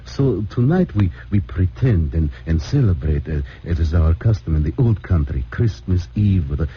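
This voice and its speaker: An actor playing an anxious, devout immigrant father who speaks in halting, formal, stammering phrases. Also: deep voice, expressive, slight background noise. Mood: solemn. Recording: muffled old radio broadcast.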